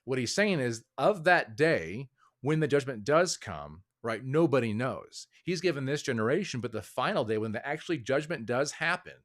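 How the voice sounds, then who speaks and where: A man's voice: medium pitch, very animated, normal speed, fairly bright tone. A man as a podcast guest, explaining his views in a hedging, thoughtful way with many fillers. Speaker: author and guest. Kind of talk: casual interview talk.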